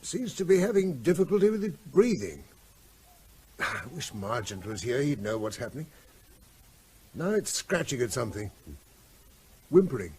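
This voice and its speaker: A man's voice: medium pitch, very animated, moderate pace, long pauses, a little mellow, breathy edge. An actor playing an earnest, persuasive man, a concerned friend, in a naturalistic radio drama. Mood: subdued, concerned. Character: earnest, persistent male friend of a gunman, pleading calmly.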